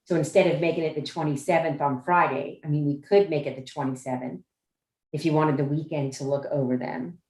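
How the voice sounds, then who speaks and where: Low-voiced woman on an echoey video call. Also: fairly even intonation, a little fast, darkish tone. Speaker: chair of a judicial nominating commission meeting. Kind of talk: informal procedural explanation in a meeting. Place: indoor room on a Zoom conference call. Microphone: video call microphone. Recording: roomy, echoing video-call audio.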